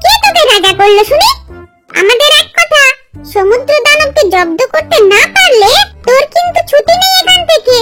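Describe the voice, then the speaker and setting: A woman voicing an eager, bossy little child in a children's cartoon, with urgent, cheeky delivery over loud music. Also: extremely high pitch, sing-song, normal speed, voice pushed up. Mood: scornful, bossy.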